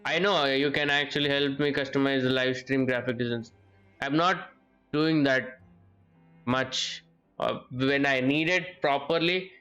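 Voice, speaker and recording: A man live streaming a game, chatting casually and haltingly as he comments. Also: mid-range voice, steady tone, fairly bright tone, dull audio, soft background music. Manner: halting, repeats the game dialogue. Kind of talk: casual gameplay commentary and chat.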